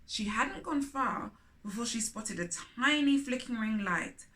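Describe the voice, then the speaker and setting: A woman's voice: a little high, animated, measured pace, bright, forceful tone. A woman hosting a children's storytime, reading a picture book aloud expressively with chatty asides.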